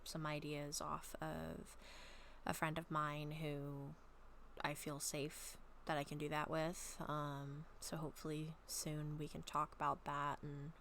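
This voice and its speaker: A woman chatting casually and reading her own story aloud at home, a little raspy from a long talking session, rambling with many soft ums. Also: sits low, completely flat delivery, slow, a little hiss or hum. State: slightly raspy throat. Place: at home, bedroom.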